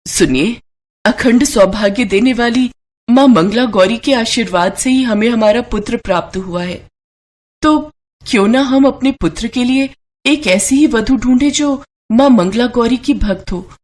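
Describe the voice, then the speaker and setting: A woman's voice: fairly high pitch, unhurried, a little mellow, voice pushed up. Earnest, devoted wife voiced by a woman. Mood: hopeful, earnest. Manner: pleading, earnest. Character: devoted, concerned merchant's wife and mother. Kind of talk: devotional story dramatization.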